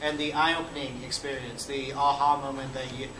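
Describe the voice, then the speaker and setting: A man moderating a panel puts a question to a guest, stuttering and repeating sounds in a flat, even tone. He is far from the microphone in an echoey hall, with steady noise clearly audible behind him. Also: medium pitch, moderate pace. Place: lecture hall.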